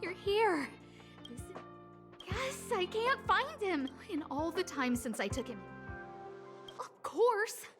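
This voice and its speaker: An actress playing an earnest, excitable, impulsive young woman in a video game, quick to worry. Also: extremely high pitch, over music, full character voice. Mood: anxious.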